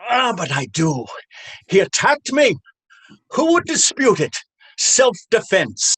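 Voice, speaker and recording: An actor playing a cruel, taunting police officer in a stage drama, with a mocking, menacing drawl. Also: male voice, sits high, wildly swooping pitch, takes it slowly, fairly bright tone, breathy edge, heavily acted. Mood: cruel, gloating. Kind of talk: stage readers theater drama.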